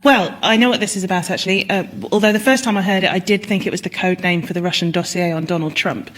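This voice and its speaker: A woman's voice: fairly low pitch, steady tone, fast talker, a bit bright, a little hiss or hum. A woman explaining things comically and anecdotally as a panellist on a radio comedy show. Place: radio show before live audience.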